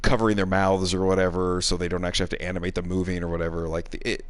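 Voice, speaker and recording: A man's voice: sits low, little pitch movement, rapid-fire. A man chatting casually on a game stream, hesitant and meandering in how he puts his thoughts. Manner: hesitant, meandering, hedging phrases.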